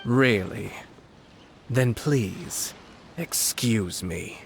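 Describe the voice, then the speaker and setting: An actor playing a composed, calculating young nobleman swordsman in a video game, speaking in a measured, formal and articulate way. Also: on the low side, gravelly, slight background noise, full character voice. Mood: cool, firm. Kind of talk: scripted video game voice acting. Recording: music under the voice.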